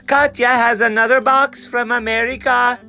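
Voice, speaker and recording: Man acting out a hollering, excitable storybook postman. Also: extremely high pitch, normal speed, creaky, soft background music, voice pushed up, full character voice. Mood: excited shouting. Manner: hollering and singing out. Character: excitable, jolly male Dutch village postman in a storybook.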